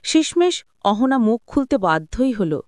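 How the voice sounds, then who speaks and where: A woman's voice: on the high side, very animated, measured pace, darkish tone, no echo, studio quality. A woman storyteller reading a dramatised audio story as scripted narration.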